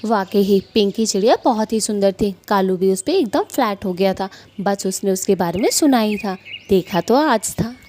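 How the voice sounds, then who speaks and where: A woman narrating a cartoon story in a plain, steady storytelling manner. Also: mid-range voice, normal speed, hardly any pauses.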